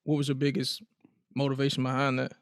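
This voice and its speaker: A man's voice: medium pitch, monotone, fast, clean tone, no echo, clean studio sound. A man co-hosting a podcast, easygoing and casual. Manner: short affirmations, easygoing. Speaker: podcast co-host.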